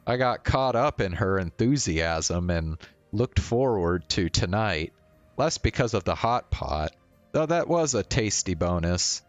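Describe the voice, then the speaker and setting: Male streamer for visual novel reading, lowish pitch, unhurried delivery, casual asides, faint game music underneath. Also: no echo, lo-fi sound.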